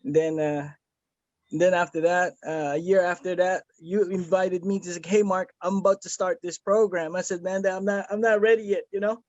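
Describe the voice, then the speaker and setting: A man's voice: high voice, flat delivery, moderate pace, darkish tone, no room sound, muffled recording. A man from the Philippines giving an earnest, rambling testimonial over a video call, with many fillers and restarts. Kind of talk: casual video-call chat.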